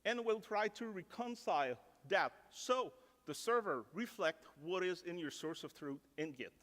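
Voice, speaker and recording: A man's voice: sits high, animated, measured pace, fairly bright tone. A French-speaking man from Canada giving a casual technical conference talk, sprinkling in "like" and joking at his own expense. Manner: casual, self-deprecating, filler "like". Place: large conference ballroom. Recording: reverberant conference ballroom.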